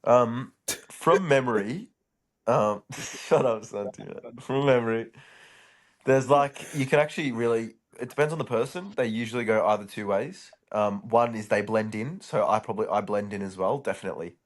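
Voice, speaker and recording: A man's voice: mid-range voice, moderate pace. A man co-hosting a podcast in a laid-back casual chat, teasing and bantering with his co-hosts. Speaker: podcast co-host.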